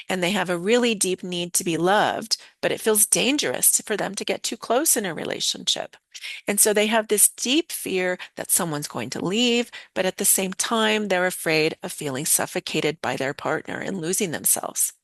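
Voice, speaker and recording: A woman with a slightly hoarse voice, calmly explaining ideas and speaking straight to her viewers in a live online lesson. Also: mid-range voice, dry recording. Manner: calm, explanatory, addresses viewers directly. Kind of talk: teaching a live online session.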